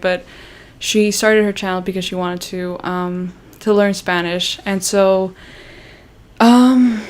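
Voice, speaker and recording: A young woman from Canada chatting on a livestream, rambling with many fillers and restarts and sounding self-conscious. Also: mid-range voice. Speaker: YouTuber hosting a livestream. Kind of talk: casual livestream chat.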